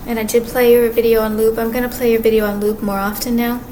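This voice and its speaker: Woman, soft quiet voice, slightly high, halting casual vlog talk; audible background ambience. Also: level tone, poor audio. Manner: rambling, halting, many restarts and fillers. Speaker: vlogger. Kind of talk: casual monologue to camera. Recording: clear background ambience noise.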